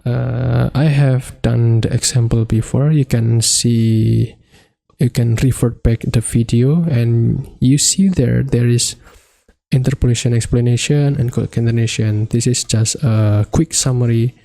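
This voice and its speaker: Slow, gravelly man. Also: medium pitch, fairly even intonation, warm tone, no echo. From Indonesia. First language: Indonesian. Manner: halting, hesitant non-native phrasing. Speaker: coding tutorial host. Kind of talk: screen-recorded coding walkthrough.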